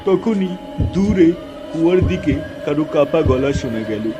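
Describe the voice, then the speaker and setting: A man's voice: a little high, expressive, normal speed. A man narrating a scripted horror story in the first person, in a dramatic style. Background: music, night insects.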